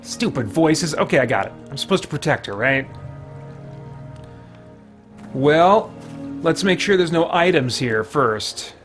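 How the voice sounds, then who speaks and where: A man's voice: on the high side, expressive, measured pace, pauses often, fairly bright tone, faint music behind. A man hosting a game livestream, chatting casually in a rambling, conversational way as he plays. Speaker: video game livestream host. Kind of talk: casual chat and play commentary.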